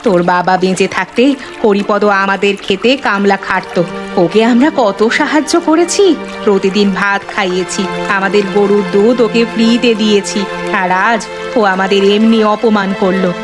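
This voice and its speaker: A woman's voice: medium pitch, measured pace, natural voice. A woman playing a sorrowful but resolute elderly village mother in a melodramatic cartoon, plaintive and exhorting. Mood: indignant. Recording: music behind the voice. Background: background music.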